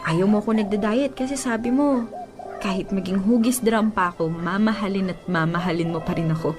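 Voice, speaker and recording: A woman's voice: medium pitch, brisk, close to an everyday voice. A woman with a Filipino accent playing a lovelorn young woman confiding tenderly in a radio drama. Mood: tender, wistful. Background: music under the voice.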